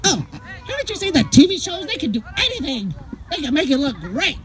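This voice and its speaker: A woman voicing a whiny, self-pitying male puppet creature with melodramatic, wailing delivery and drawn-out words in a live puppet show. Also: sits high, big pitch swings, a crowd in the background. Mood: defiant, resolved. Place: outdoors on a lawn, behind puppet stage. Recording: outdoor recording with audience noise.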